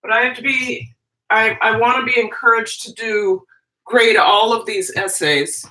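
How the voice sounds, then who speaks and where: A woman from Minnesota with her home accent, talking loosely and jumping between thoughts as she answers viewers live. Her voice sits a bit high and rings bright. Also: steady tone, unhurried, low-quality recording. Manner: chatty, rambling, self-interrupting. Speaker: teacher and livestream host. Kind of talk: casual livestream chat, reading viewer comments.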